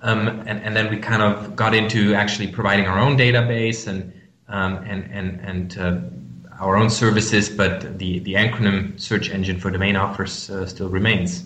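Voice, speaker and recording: A man's voice: lowish voice, little pitch movement, moderate pace, a bit bright, echoey room. A man from Germany with a German accent, answering interview questions about his company in a hesitant way, full of fillers and restarts. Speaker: company representative guest. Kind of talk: business interview.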